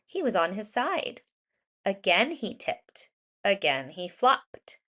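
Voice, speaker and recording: A woman reading a rhyming children's story aloud in an expressive, deliberate storytelling manner with emphasized words. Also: mid-range voice. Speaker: children's storybook reader, educator.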